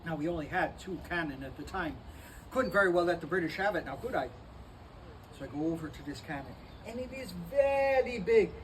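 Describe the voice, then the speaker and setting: A man's voice: animated, a little fast, lightly acted. A man doing a boastful giant soldier. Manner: theatrical, playful storytelling, swinging from booming to hushed. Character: towering young Portuguese-born soldier, boastful, cheerful. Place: historic park. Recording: distant mic with outdoor ambient noise.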